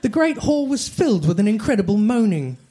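A man's voice: high voice, very animated, fairly quick, dark tone, husky. A man reading comic fiction aloud like a storybook, with dramatic delivery. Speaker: reader of comic fan fiction. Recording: faint background noise and clicks.

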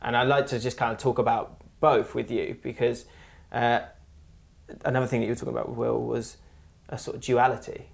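A man with a gravelly, creaky voice hosts a relaxed interview. He draws his guest out with open questions and easy agreement. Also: medium pitch, fairly quick. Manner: encouraging, asks open questions. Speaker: podcast host. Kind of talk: interview conversation.

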